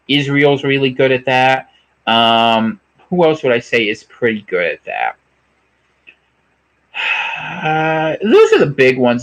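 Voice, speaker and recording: An American man riffs through an unscripted livestream, answering chat and wandering between points. His mid-pitched voice swings widely, shooting upward whenever he hammers home an opinion. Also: low-quality recording. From United States. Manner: animated, opinionated, digressive, pitch jumps up sharply on emphatic points. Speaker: livestream host reading chat.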